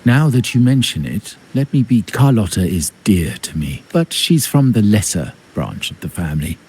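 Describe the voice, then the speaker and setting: Low, commanding crime-family patriarch. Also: male voice, big pitch swings, mellow, slightly rough. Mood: formal, calculating. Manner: formal, measured, commanding. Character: imposing, calculating male crime-family boss, formal uncle. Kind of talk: dramatic video game voice acting.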